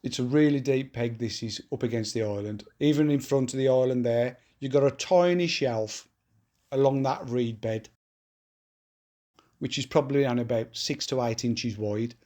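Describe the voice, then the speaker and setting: A man's voice: medium pitch, normal speed, mellow. Bunged-up man talking through his tactics. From England. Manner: matter-of-fact, talks through his tactics step by step. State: congested from a cold. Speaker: match angler vlogging his match. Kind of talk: casual explanatory commentary while fishing. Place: outdoors at a fishing lake.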